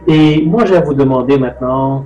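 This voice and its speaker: A man's voice: medium pitch, moderate pace, lo-fi sound. Rambling middle-aged man in an echoey room. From Mauritius. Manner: rambling, loose, self-correcting. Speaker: host. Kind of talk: casual talk on nutrition. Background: soft music.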